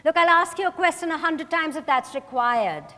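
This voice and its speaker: A woman's voice: high-pitched, moderate pace, bright, forceful tone. A woman acting a firm, relentless investigator in a staged play reading. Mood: firm, insistent. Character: firm, relentless female investigator questioning a suspect. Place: stage in a hotel ballroom. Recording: reverberant hall, distant stage pickup.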